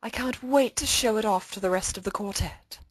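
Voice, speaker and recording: A woman's voice: medium pitch, normal speed, dry recording, pitched above the performer's own voice, lightly acted. A woman voicing a refined, prim female pony cellist with polite, formal phrasing, in a fan fiction story reading. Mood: polite, composed.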